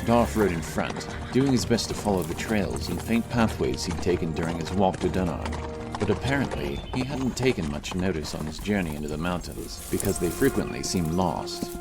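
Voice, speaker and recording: A man reading scripted fantasy narration as a dramatic audiobook narrator. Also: low-pitched. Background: music.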